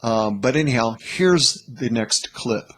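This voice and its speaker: A man's voice: medium pitch, animated, slow pace, fairly bright tone, slight background noise. A man hosting in a casual, informal way, talking to viewers in a rambling, slightly hesitant manner. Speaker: host presenting clips.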